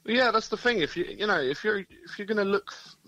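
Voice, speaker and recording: A man's voice: sits high, lively intonation, fairly quick, pushed and bright, highs cut off. A British man, a band member guest on a radio show, talking in an easygoing, laid-back way and repeating phrases. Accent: British English. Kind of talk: casual radio interview.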